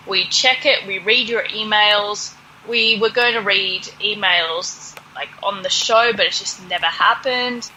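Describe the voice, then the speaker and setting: Bright-voiced woman podcasting, unhurried. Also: mid-range voice, a little hiss or hum, rough recording. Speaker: podcast co-host. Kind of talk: casual podcast chat.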